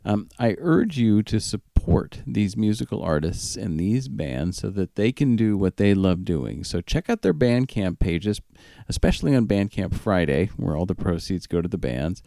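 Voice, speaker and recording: Male radio host, casual and conversational, animated intonation with a gravelly, creaky edge. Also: fairly low pitch, normal speed, dry recording, clean studio sound. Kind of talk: casual radio chat, announcing tracks.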